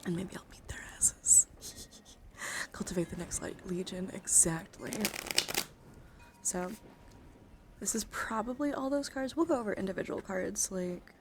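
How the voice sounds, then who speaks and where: Soft, whispery woman with gravelly fry. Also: medium pitch, unhurried, long pauses. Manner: hushed, often slipping into whisper. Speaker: livestreamer. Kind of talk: soft-spoken ASMR stream chat.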